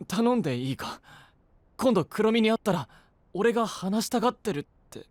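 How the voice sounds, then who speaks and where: An actor playing a brash, troubled young male knight in an anime voice drama, speaking roughly and emotionally. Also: high voice, animated, moderate pace. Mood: anxious, frustrated. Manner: rough casual speech, emotional. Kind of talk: Japanese anime voice drama acting.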